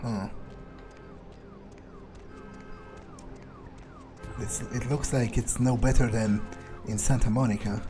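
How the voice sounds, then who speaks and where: A man's voice: mid-range voice, steady tone, normal speed, lightly acted. A man voicing a cynical, foul-mouthed vampire in a video game, muttering casually to himself as he thinks aloud. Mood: frustrated, sardonic. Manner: casual, sweary, hesitant muttering. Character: cynical, foul-mouthed male vampire prowling a city for prey. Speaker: gameplay roleplayer. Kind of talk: in-character gameplay monologue, thinking aloud. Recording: game music behind the voice.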